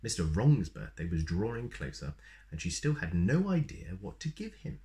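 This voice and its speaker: A man in his thirties reading a children's story aloud in an expressive, playful way. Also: deep voice, moderate pace. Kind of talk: animated read-aloud with asides to young listeners. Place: small plain room indoors.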